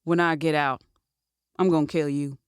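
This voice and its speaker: A woman's voice: low-pitched, steady tone, clean tone, no room sound, studio quality, natural voice. An actress playing a weary, lonely woman prisoner, reflective and brooding, in an audio drama. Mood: dry, bitter. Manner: slow, reflective, weary delivery. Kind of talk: dramatic monologue and dialogue in fiction podcast.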